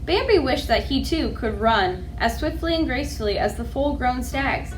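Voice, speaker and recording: A woman reading children's storybooks aloud as a library storyteller, with an expressive delivery. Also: high-pitched, moderate pace, a little hiss or hum, rough recording.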